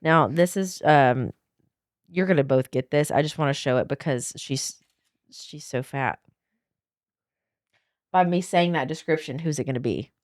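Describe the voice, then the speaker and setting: A woman hosts a casual vlog in a low, smoky voice that sounds worn out. Her delivery is tired and informal, and the recording is close and dry. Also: measured pace, pauses often, no echo. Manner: low-energy, informal teasing. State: tired, voice strained. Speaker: vlog host quizzing friends. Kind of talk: casual chat.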